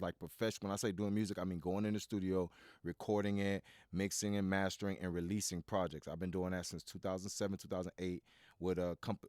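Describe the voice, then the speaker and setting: A man in his thirties from Miami with a very low, deep voice. He rambles loosely through his answers, leaning on filler words and restarting his sentences. The sound is close and dry, with no room echo. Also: a little mellow, smooth. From Miami, USA. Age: 30s. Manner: rambling, full of "you know" and restarts. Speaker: guest, studio owner. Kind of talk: casual interview talk.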